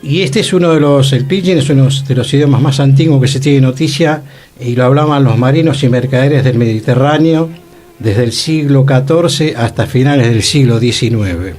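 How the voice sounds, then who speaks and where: A man's voice: medium pitch, normal speed, a little mellow. A man with a Rioplatense Argentine accent giving a didactic, scholarly talk as a radio host. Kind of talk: informative radio talk on theatre history. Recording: music playing behind the voice. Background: music.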